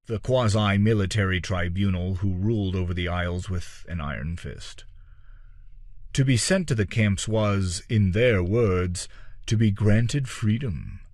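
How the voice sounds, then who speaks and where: Deep-voiced man narrating a dark tale, dramatic intonation. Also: no echo, clean studio sound. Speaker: audiobook-style reader.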